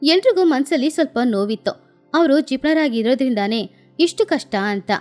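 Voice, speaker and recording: A woman's voice: sits high, lively intonation, moderate pace, darkish tone, faint music behind. A woman storyteller reading scripted narration for a children's tale.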